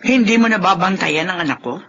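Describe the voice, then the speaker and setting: A woman's voice: on the low side, expressive, brisk, fairly bright tone, slightly rough, exaggerated voice. An actress playing a bitter, accusing Filipino mother-in-law in a radio drama, scolding and sarcastic. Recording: dull, noisy lo-fi drama recording.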